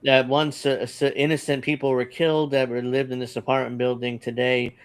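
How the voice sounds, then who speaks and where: Man, panel host, casual chat, halting, middle pitch. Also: level tone, smooth. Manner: loose, halting, with many fillers and restarts. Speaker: show host.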